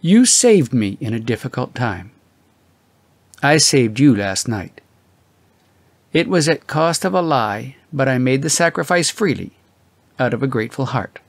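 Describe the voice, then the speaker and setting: Solemn, grateful, disgraced churchman read by a man for an audiobook; formal, measured, dry sound. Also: medium pitch, no echo. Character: earnest, grateful disgraced clergyman, as read from his letter.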